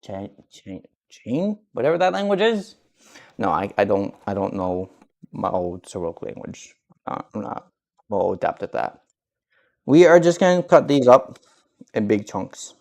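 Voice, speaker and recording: A man's voice: fairly low pitch, sing-song, measured pace, creaky, a little mellow. Rambling young man chatting while he cooks. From grew up speaking Russian, learned English at eight. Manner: rambling, many restarts. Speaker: vlogger cooking on stream. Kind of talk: casual cooking chat.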